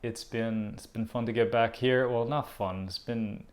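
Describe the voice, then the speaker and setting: A man's voice: mid-range voice, fairly even intonation, normal speed, a little hiss or hum. A man whose first language is Portuguese, giving a casual vlog update about his art, hesitant and self-deprecating with frequent fillers. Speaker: artist giving a channel update.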